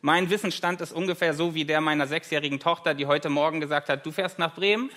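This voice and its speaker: A man's voice: a little high, fairly even intonation, fast, fairly bright tone. A German man in his thirties, a guest preacher giving a conversational sermon with light self-deprecating humor, in a church.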